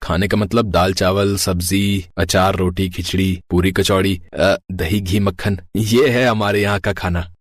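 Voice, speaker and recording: A man playing a simple, good-natured young village-bred husband in a cartoon story, with a husky voice and patient, teasing manner. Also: deep voice, fairly even intonation, unhurried, hardly any pauses, dry recording.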